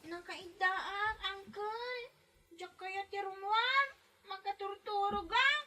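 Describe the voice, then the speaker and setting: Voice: very high voice, slow pace, sharp tone, heavily acted. An actor playing an affectionate young girl in an Ilocano radio drama, with music underneath. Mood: plaintive. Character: affectionate young girl living with her uncle.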